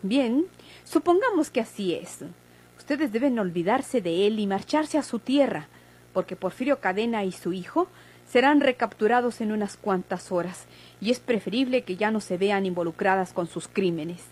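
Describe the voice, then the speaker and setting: A firm, composed nurse voiced by an actress speaks at a medium pitch. Her diction is formal and deliberate, with a Mexican accent, in golden-age radio soap style. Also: expressive, a little mellow, close to an everyday voice.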